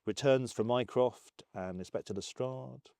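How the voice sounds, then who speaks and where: A man's voice: mid-range voice, unhurried, gravelly, warm tone, no echo, studio quality. A man with an English accent, explaining things in an interview.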